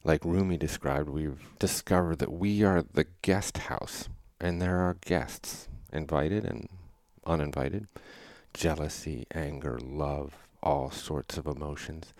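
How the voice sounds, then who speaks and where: Man, podcast host, very low voice, thoughtful, rhetorical questions, dry studio sound. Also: takes it slowly, darkish tone, no room sound. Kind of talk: reflective solo monologue.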